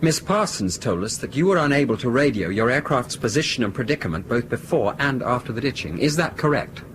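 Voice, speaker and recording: A man playing an analytical, inquisitive passenger in a radio drama, speaking deliberately as he pieces things together. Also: medium pitch, big pitch swings, noisy background, natural voice. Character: curious, analytical male passenger sleuthing out technical clues. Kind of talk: broadcast radio drama acting.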